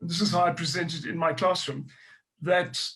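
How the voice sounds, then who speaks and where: A middle-aged South African man with a higher-pitched voice talks through his ideas earnestly as a historian guest. He thinks aloud, restarting his phrases and circling back to his point. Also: fairly even intonation, normal speed, creaky, sharp tone. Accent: South African English. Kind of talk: panel discussion.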